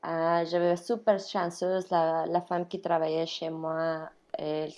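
Lively low-voiced woman with Mexican accent. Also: measured pace, highs cut off. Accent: Mexican Spanish. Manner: chatty, laughs often, mixes in English words. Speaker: interview guest, restaurant worker. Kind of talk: casual storytelling interview.

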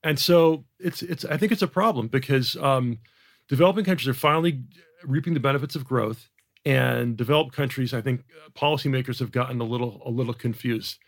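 A man from Jamaica with an American accent, a guest explaining his views in an animated interview, often repeating words as he restarts. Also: brisk. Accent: General American. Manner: animated, repeats words when restarting, rises in pitch for emphasis. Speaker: guest author. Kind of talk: conversational interview.

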